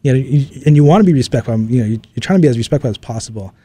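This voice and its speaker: Mellow-voiced man from Hawaii reflecting. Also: mid-range voice, expressive, very fast, slightly rough, no room sound. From Oʻahu, Hawaii. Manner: thoughtful, searching, repeats phrases. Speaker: guest, strength and conditioning coach. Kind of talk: reflective interview answers.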